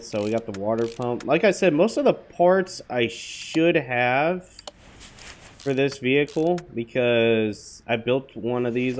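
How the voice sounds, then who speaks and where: A man with a slightly hoarse voice, mid-pitched and easygoing, talks his viewers through a game in a loose, meandering way. Faint hiss and clicks sit under his voice. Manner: rambling, offhand, full of fillers. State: hoarse from a cold. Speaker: gaming channel host. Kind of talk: casual gameplay commentary.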